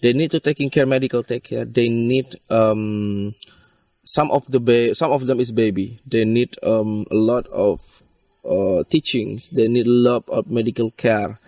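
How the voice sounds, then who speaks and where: Halting Indonesian-accented man, recorded at a distance. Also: mid-range voice, fairly even intonation, a little mellow, dry recording. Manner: halting, repetitive phrasing. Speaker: wildlife rescue NGO worker being interviewed. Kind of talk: interview explanation in non-native English. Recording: distant mic with insect chirps.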